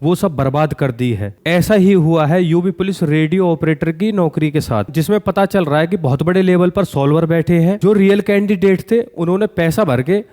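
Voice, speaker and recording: A man's voice: fairly high pitch, normal speed, hardly any pauses, mellow. A man giving earnest, pointed commentary straight to viewers as an exam-news YouTuber, often posing rhetorical questions. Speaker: exam-news YouTuber addressing job aspirants.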